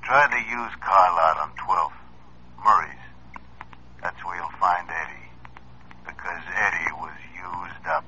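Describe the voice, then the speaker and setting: Brief: a mocking killer on the phone, deep voice with a hard sharp edge, muffled old radio. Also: male voice, slow, pauses often, slight background noise, exaggerated voice. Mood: gloating. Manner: mocking, gloating. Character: taunting anonymous male killer on the phone.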